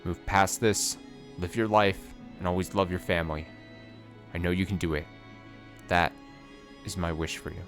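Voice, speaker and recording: Man reading as a doomed young knight, very deep voice, solemn, tender, soft music behind. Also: little pitch movement, moderate pace, long pauses. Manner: solemn, tender, at times shaky. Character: tormented young male heretic knight, tender and resigned. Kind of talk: dramatic reading of a story.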